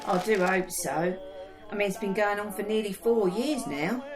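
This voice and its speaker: A woman playing a gentle, steady woman on the wartime home front in a radio play. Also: mid-range voice, normal speed, over music, natural voice. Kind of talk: dramatic dialogue in an audio play.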